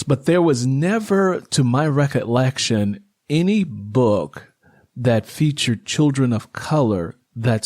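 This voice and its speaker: A man in his fifties hosting a conversational interview, reflective and halting as he works out his phrasing. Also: mid-range voice, a little mellow, dry recording, clean studio sound. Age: 50s. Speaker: interview host.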